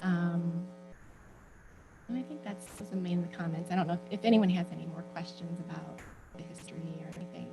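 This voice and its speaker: Middle-aged female, slightly low voice, informal public-comment delivery at a town meeting, hesitant phrasing with frequent hedges. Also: vocal fry, over music, low-quality recording. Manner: halting, hedging, searching for words. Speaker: former board member giving public comments. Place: indoor local government meeting.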